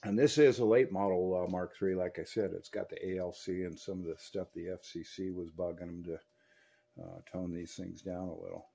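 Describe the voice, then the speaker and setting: Gravelly American man talking radio shop. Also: deep voice, steady tone, fairly quick, warm tone. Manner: folksy radio slang. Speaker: radio repair technician and video host. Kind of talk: casual hobbyist demonstration and CB radio chat. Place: indoor radio workbench.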